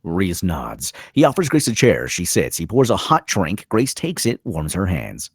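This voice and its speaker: A man's voice: deep voice, wildly swooping pitch, unhurried, breathy edge, dry recording, studio quality. A man reading screenplay stage directions as scripted narration, in terse, dramatic phrases.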